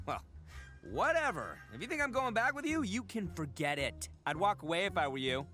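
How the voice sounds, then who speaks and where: A brash, boisterous oni gang boss. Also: male voice, high-pitched, very animated, normal speed. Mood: cocky. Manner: loud, blustering, laughs a lot, stammers when caught out. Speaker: game character. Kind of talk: video game voice acting. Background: faint game music.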